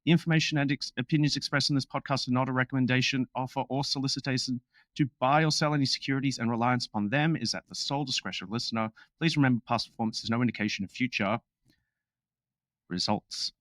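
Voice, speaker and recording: Male podcast host, mid-pitched voice, upbeat and jokey, dry close mic. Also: fairly bright tone, no room sound. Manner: upbeat, jokey, quick asides. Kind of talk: casual podcast chat with read disclaimer.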